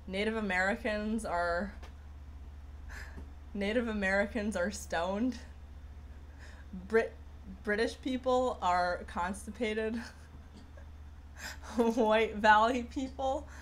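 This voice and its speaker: Slow-talking rambly woman streamer. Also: a little high, slight background noise. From Persian heritage. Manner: rambling, with many fillers and restarts. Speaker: livestream host. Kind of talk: casual livestream chat reading viewer comments.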